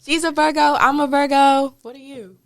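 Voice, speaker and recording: A twenty-year-old woman chatting casually, teasing and asking quick questions. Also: high voice, flat delivery. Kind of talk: casual chat.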